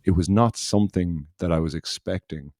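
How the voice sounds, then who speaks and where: A man with a deep, mellow voice, hosting a podcast in easy, casual chat. He wanders through his story and circles back on himself. The sound is dry and close, with no room around it. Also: moderate pace, no room sound. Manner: rambling, loose storytelling that circles back on itself. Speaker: podcast host.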